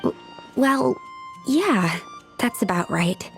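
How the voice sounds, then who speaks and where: A woman's voice: fairly high pitch, sing-song, slow pace, soft background music, exaggerated voice. A woman playing a studious, precise young alchemist in a video game, speaking methodically and earnestly. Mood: earnest, explanatory. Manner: methodical, explanatory, slightly hesitant.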